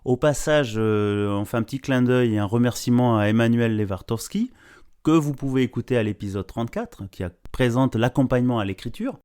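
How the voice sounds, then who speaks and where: Man, podcast host, average pitch, conversational interviewing style. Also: expressive, a little fast, darkish tone, clean tone.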